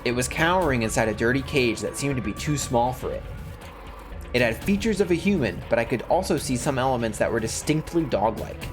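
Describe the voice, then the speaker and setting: A man's voice: medium pitch, expressive, brisk, music in the background. A man who hosts gaming videos, reading story text aloud and commenting casually.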